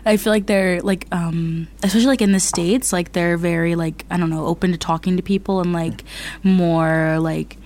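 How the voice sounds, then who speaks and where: A young woman from Virginia, a student guest, who rambles casually with many "like"s and pauses. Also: lowish voice, fairly even intonation, a little mellow. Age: young adult.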